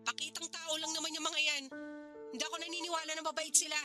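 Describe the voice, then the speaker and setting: A woman's voice: high-pitched, flat delivery, quick pace, music in the background, natural voice. A woman playing a selfish, defensive pregnant mother in a Filipino radio drama, with a whiny, exasperated, dismissive delivery. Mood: irritated.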